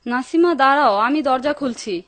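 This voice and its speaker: A woman's voice: sits high, fairly quick, lightly acted. A woman playing a nosy, greedy, nagging wife in a dubbed cartoon, by turns placating and needling. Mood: polite.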